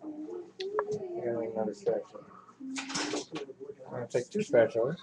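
A man hosting a casual home cooking show with his kids, filmed in a kitchen on the camera microphone, chatty and giving quick instructions. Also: medium pitch. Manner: relaxed, chatty, gives quick instructions to children. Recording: noisy home video.

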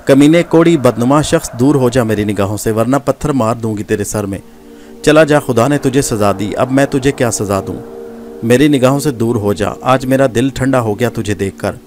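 A man's voice: mid-range voice, lively intonation, close to an everyday voice. A man voicing a furious young woman who hurls bitter, scornful insults at her father's killer, with music behind him. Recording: background music.

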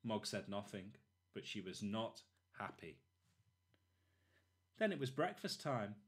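A man narrating a children's storybook expressively, in short, deliberate phrases. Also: fairly low pitch, big pitch swings. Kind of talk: expressive children's read-aloud.

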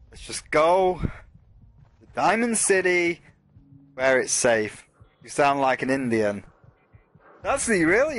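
A man hosting a let's play, rambling casually and swearing as he talks through the game and to his chat. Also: medium pitch. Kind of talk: casual gameplay commentary.

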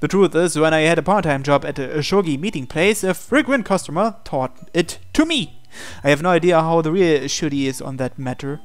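A woman's voice: low-pitched, expressive, a little fast, faint music behind. A woman reading translated visual novel text aloud, acting out the hero's lines in a conversational way. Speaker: game player reading the hero's dialogue aloud.

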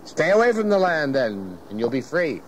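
An actor playing a jovial, garrulous, folksy ship captain in an audio history drama. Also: male voice, fairly high pitch, big pitch swings, moderate pace, low-quality recording. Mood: curious. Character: hearty, cantankerous-sea-loving ship captain, folksy and fatherly. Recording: clear background noise of ship ambience.

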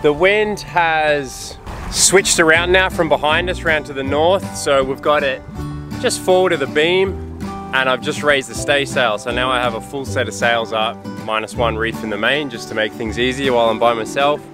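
A man's voice: medium pitch, moderate pace. A man in his forties, a sailing dad, talking to an action camera out on deck at sea and explaining each step plainly. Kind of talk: casual vlog narration to camera. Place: outdoors on a sailboat at sea. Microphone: action camera at arm's length. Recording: music bed under voice.

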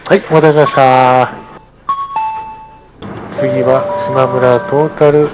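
A man's voice: medium pitch, level tone, slow, over music, poor audio. Male bus driver making routine announcements. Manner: polite, routine, formulaic. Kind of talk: live driver announcements to passengers. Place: inside a bus, over the onboard speakers. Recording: faint vehicle noise behind.

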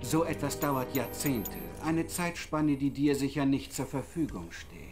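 Typ spricht eiskalten schurken-wissenschaftler im kälteanzug, ruhig und bedrohlich, game-synchro. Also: male voice, mid-range voice, over music, close to an everyday voice. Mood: explaining coldly. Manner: cold, measured, menacing. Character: cold scientist villain in cryo suit.

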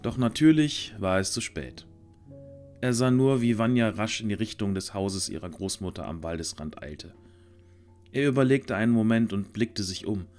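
A man narrating a scripted fantasy audio drama in a measured storytelling manner. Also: lowish voice, a little mellow, faint music behind.

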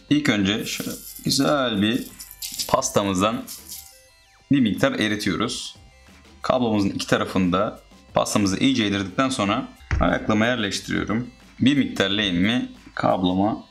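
A man's voice: fairly low pitch, a bit bright. A man narrating a casual step-by-step how-to as a DIY host.

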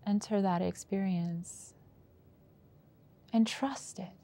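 A woman leads a guided meditation at a very slow pace. Her voice is mellow and relaxed, with a creaky fry in it. She gives gentle prompts and leaves long pauses between phrases. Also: medium pitch. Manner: slow, pausing, unhurried delivery with gentle prompts. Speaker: meditation teacher.

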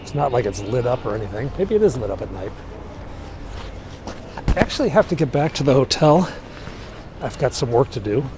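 A man's voice: medium pitch, sing-song, a little fast, long pauses. A man chatting casually to viewers in an offhand, musing way as he walks outdoors through a city. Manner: offhand, musing aloud to himself. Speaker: travel vlogger. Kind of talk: casual walking vlog chat to viewers. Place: outdoors walking on a city street. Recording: loud street noise, traffic outdoors. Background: urban street ambience with vehicles.

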